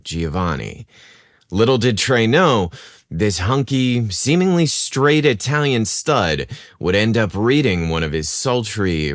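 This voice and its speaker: A man hosting a podcast and narrating scripted romantic stories in a theatrical, expressive manner. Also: on the low side, no echo, clean studio sound. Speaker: podcast host and story narrator.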